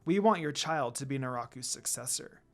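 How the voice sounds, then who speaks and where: A man's voice: medium pitch, animated, moderate pace, fairly bright tone, voice pushed down. Man reading as a smug, threatening evil sorceress.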